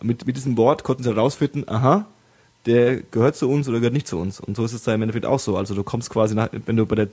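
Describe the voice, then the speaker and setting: A man's voice: mid-range voice, steady tone, racing, a little mellow, breathy edge. A man from Bavaria talking in a casual chat, rambling with many restarts and fillers.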